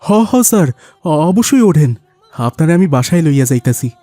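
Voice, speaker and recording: A man's voice: a little high, sing-song, brisk, dark tone, no room sound. A man voicing a poor, humble rickshaw-puller father with a rural Bangladeshi dialect in a melodramatic cartoon. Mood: grateful.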